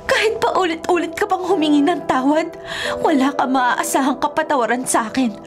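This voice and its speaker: A woman's voice: high-pitched, moderate pace, over music, natural voice. A woman acting in a Filipino radio drama as a bitter young daughter, scolding and accusing with emphatic delivery. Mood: bitter, unforgiving. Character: bitter, furious grown daughter scolding her mother.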